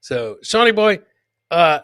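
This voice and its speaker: A man's voice: sits high, expressive, slow, gravelly, a bit bright, clear, resonant tone, dry recording, clean studio sound. A man hosting a live comedy clip show, joking and teasing as he comments on videos and repeats his punchlines.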